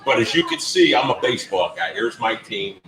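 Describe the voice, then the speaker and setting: A man's voice: on the low side, very animated, fairly quick. Roomy-sounding man hosting casual sports talk. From North Jersey, United States. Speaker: sports talk show host. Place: live broadcast from a grill restaurant. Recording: roomy sound with crowd chatter behind.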